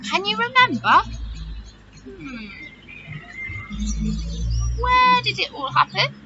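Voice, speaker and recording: High, animated woman teaching young children. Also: takes it slowly, over loud music. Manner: animated, questioning, exaggerated gasps and wonder for children. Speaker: children's teacher hosting story follow-up activities. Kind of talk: chatty talk addressed to young children. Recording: dull audio with loud noise and clicks.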